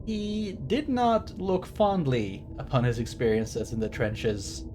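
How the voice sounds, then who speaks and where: Bookish man streaming, rumbly background noise. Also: steady tone, takes it slowly. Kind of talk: rambling game stream commentary with read-out passages.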